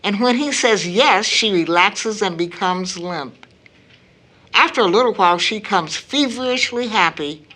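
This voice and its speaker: A gentle, grave mother in a radio play. Her voice is bright and swings widely in pitch. She speaks slowly and deliberately, with earnest dramatic weight and deep concern for her family. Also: expressive. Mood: troubled, brooding. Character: worried middle-aged mother, gentle and grave. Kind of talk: audio drama acting.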